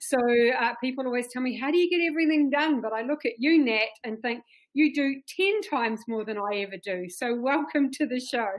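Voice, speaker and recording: A woman's voice: sits high, a little fast, poor audio. A woman hosting an interview show, introducing her guest with enthusiasm. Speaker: host.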